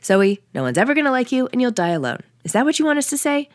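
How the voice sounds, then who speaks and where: A woman's voice: high voice, very animated, quick pace, no echo, studio quality, voice pushed up. Woman reading as a blunt, grumbling tired dad. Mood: irritated. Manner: grumbling, dismissive. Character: tired, blunt middle-aged husband and father, sarcastic.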